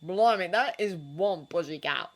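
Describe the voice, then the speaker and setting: A woman's voice: lowish voice, lively intonation, a little slow. A woman voicing a blunt, joking teenage boy who mutters his remarks.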